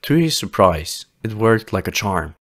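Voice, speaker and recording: A man's voice: lowish voice, sing-song, a little slow, a bit bright. A man narrating a scripted fantasy audio play as a dramatic storyteller. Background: music.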